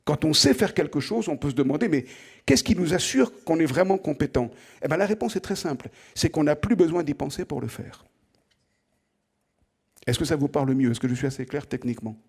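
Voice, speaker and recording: A middle-aged male professor, a native French speaker, lecturing in a slightly husky voice that sits a little high for a man. He talks to the students conversationally, asks them direct questions and repeats words while he works out his ideas. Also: expressive, fairly quick, a little mellow. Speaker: professor giving an opening lecture. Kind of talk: lively spoken lecture to students.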